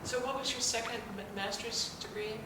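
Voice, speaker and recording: A woman interviewing a guest, gently prompting with questions. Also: a little high, moderate pace. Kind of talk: hosted interview. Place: museum gallery. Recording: very echoey, distant, noisy room.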